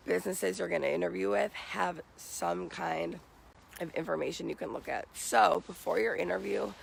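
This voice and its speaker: A young American man with a raspy, morning-hoarse voice, giving casual advice to viewers as a vlogger. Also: high voice, fairly bright tone, slight background noise, low-quality recording. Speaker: vlogger giving job interview tips. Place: outdoors.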